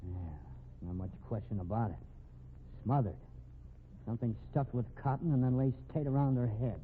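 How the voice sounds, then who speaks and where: An actor playing a brisk, determined amateur sleuth in a 1950s radio drama, with a clipped manner. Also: male voice, medium pitch, narrow, tinny audio, natural voice. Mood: grim. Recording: band-limited old radio recording, faint noise.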